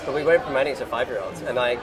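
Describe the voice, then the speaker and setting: Man, playful interviewer, fast talk, noisy crowd behind. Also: mid-range voice, expressive. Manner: chatty, playful. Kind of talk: casual interview.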